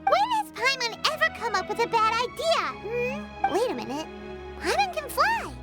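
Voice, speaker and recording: Tiny bossy game fairy girl, super high and chirpy, swoops around, music behind. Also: big pitch swings, moderate pace. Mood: bossy, confident. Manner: refers to self in third person, chirpy. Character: tiny excitable floating girl companion, bossy and childlike. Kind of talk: video game voice acting.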